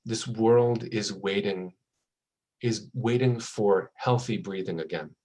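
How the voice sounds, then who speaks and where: Rabbi guy chatting, a bit breathy, tells stories and does the voices. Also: fairly low pitch, slow. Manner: warm storytelling, acts out quotes. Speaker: rabbi and author. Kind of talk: conversational interview.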